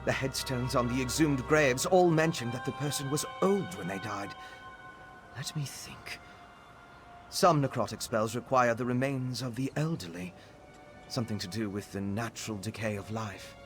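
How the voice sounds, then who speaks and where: A man's voice: mid-range voice, normal speed, music in the background, close to an everyday voice. A man playing an eager, articulate scholar of necromancy in a video game. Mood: thoughtful, intent. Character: eager, bookish male scholar researching necromancy. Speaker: game character. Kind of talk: scripted video game dialogue.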